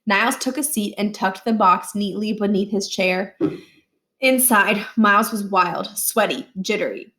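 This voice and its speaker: A woman narrating a children's book aloud, expressively, with deliberate phrasing in short, emphatic groups. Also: medium pitch, a bit bright. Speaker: read-aloud reader.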